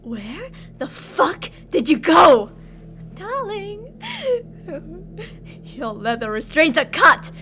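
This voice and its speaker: Woman acting a high-pitched, obsessive yandere captor. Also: big pitch swings, very slow, bright, forceful tone, soft background music, exaggerated voice. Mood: alarmed, menacing. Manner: sugary endearments, menacing, theatrical. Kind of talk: ASMR roleplay monologue.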